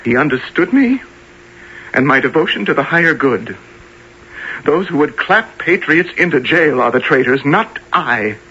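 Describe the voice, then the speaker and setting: A man acting an earnest, defiant young army lieutenant in a period radio drama, halting and then declamatory. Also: on the high side, lively intonation, bright, forceful tone, breathy edge, exaggerated voice. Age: young adult. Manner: halting when pressed, impassioned and declamatory. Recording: dull lo-fi old radio sound.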